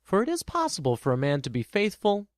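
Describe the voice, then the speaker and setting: A man's voice: high-pitched, huge pitch swings, a little fast, no room sound, clean studio sound. A man narrating a story from a script for a radio drama.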